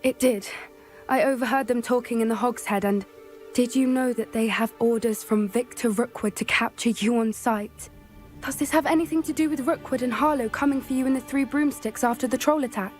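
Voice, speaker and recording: An actress playing an earnest young witch student who cares for creatures and talks quickly and confidingly. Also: on the high side, darkish tone, soft background music, close to an everyday voice. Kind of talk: video game voice acting.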